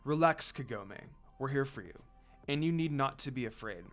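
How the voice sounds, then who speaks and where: A kindly young monk, voiced by a man reading aloud. He reassures quickly and warmly. It is a storybook reading. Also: medium pitch, animated, soft background music, close to an everyday voice. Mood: reassuring.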